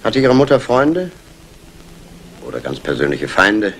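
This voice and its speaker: An actor playing a polite, probing police inspector in a 1960s German radio crime play, formal and questioning. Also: male voice, on the low side, huge pitch swings, a little slow, full character voice. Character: courteous, probing male Scotland Yard police inspector. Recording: noisy old radio play recording.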